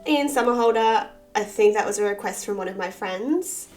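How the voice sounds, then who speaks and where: A woman, husky from a cold with a bit of vocal fry, chatting casually and self-deprecatingly through a sketchbook tour. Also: mid-range voice, normal speed, faint music behind. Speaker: artist and channel host.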